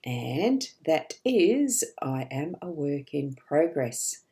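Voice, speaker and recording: A woman giving a live daily card reading as a psychic reader, chatty and gently reassuring, her voice a bit rough and hoarse. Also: low-pitched, sing-song, slow pace.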